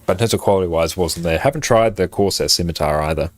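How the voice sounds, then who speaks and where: A man with a very low voice, chatting casually and rambling with many fillers as he answers viewers on a live game stream, recorded on a compressed condenser mic at a home setup. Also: fast. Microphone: condenser mic on an arm, compressed.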